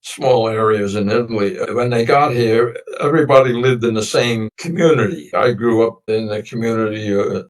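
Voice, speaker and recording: A man in his nineties whose first language was a Sicilian dialect, slowly recounting family history as an interview guest in a meandering, reflective way. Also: on the low side. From United States. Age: 90s. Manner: meandering, reflective storytelling with many pauses. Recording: voice distant from the mic.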